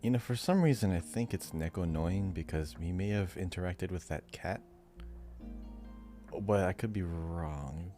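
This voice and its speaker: A low-voiced man chats casually as a quiz contestant on a podcast. His tone is dark and mellow, and faint music plays behind him. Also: unhurried. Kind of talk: casual chat.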